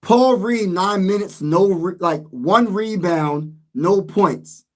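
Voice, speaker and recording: A man's voice: sits high, takes it slowly. A man losing his voice, ranting emphatically as a sports vlogger in a casual, frustrated way.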